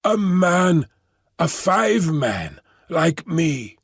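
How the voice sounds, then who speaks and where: A man's voice: a little high, very animated, extremely slow, gravelly, husky, no echo, clean studio sound, heavily acted. A man doing a gabbling ape-man. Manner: gabbling, repeating himself. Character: excitable male ape-man guide.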